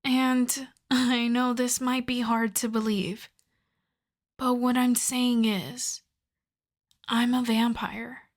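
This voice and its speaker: A woman playing a polite, coyly obsessive vampire lab technician in an ASMR roleplay, speaking softly and courteously, often breathily, straight to the listener. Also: a little high. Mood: playful, confiding. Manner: soft-spoken, courteous, measured, often breathy.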